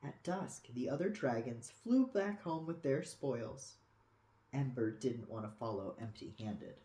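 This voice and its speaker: A storyteller reading a picture book aloud expressively for children, with dramatic pauses and cooing asides. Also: mid-range voice, expressive, dark tone. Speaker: storybook reader. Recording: echoey room.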